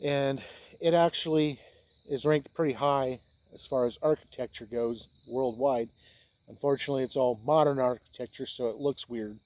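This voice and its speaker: An American man from Indiana hosting a video, talking casually and explaining ideas in halting, unhurried phrases with pauses. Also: medium pitch, steady tone, a little mellow. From Indiana, United States. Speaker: host of a tabletop role-playing video channel. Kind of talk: casual explainer talk to camera.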